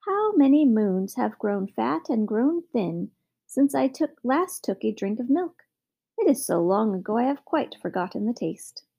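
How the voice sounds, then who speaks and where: A woman's voice: on the high side, sing-song, measured pace, mellow, heavily acted. A woman voicing a tiny, wistful green magic man in a storybook, with a thin, sing-song, pleading call. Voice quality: thin, clear, bell-like calling.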